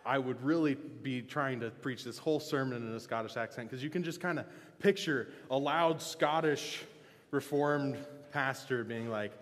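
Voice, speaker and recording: A male pastor giving a casual, joking sermon, often restarting and filling pauses with "um". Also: mid-range voice. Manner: relaxed, joking, halting with fillers. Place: church sanctuary. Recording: long reverb of a church hall.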